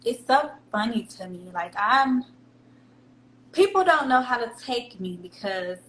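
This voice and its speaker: A woman's voice: on the high side, animated, measured pace, fairly bright tone. Guest: chatty, candid Southern American woman, echoey room with a faint hum underneath. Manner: candid, chatty, laughs often. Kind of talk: casual interview chat.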